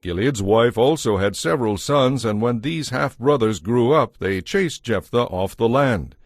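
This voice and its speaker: A man narrating a dramatized scripture audiobook from a script, in a measured, formal manner. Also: mid-range voice, expressive, no room sound, clean studio sound.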